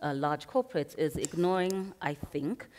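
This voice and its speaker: A woman's voice: low voice, normal speed, darkish tone. A woman from Kenya speaking as a panelist at a conference, earnest and emphatic, thinking aloud. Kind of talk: panel discussion. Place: conference hall. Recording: reverberant conference hall.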